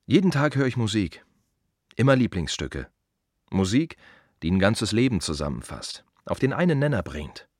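A musing gravedigger in a radio monologue, low voice that moves around a lot, dry wry humor, short repeated bits. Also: male voice, big pitch swings, normal speed, a little mellow, slightly rough, dry recording. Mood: wry, questioning. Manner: reflective, wry, clipped fragments with repeated phrases. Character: plain-spoken, musing male gravedigger at a cemetery. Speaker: monologue narrator of a radio play. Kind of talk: German radio drama monologue, conversational.

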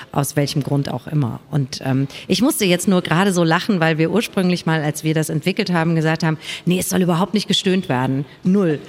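A woman with a slightly low voice talks as an author on a panel. Her delivery is conversational and emphatic, with phrases repeated for weight.

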